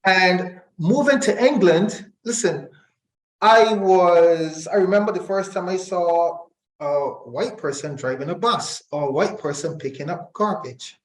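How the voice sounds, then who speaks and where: A middle-aged man with a fairly high voice talks in a very echoey room. He is an interview guest who explains things deliberately, repeating phrases to drive points home. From Barbados. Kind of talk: reflective conversational interview.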